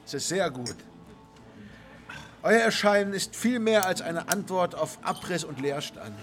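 A man's voice: high-pitched, animated, unhurried, a bit bright, faint music behind. An actor playing a charismatic squatters' leader in a radio play, grandiose and declamatory, repeating his phrases as he chairs a meeting. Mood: pleased, solemn. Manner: declamatory, pompous, repeats himself. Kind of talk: German radio play acting.

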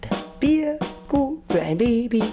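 A woman's voice: a little high, sing-song, a little slow, music in the background. A woman playing a playful narrator of crude rhymes in a musical radio play.

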